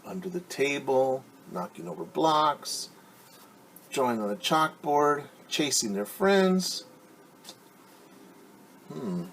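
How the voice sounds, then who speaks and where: A man who is a teacher reading a picture book aloud to young children, pausing to explain things simply. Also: fairly high pitch, lively intonation, gravelly, a bit bright, a little hiss or hum. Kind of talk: children's storytime read-aloud with asides.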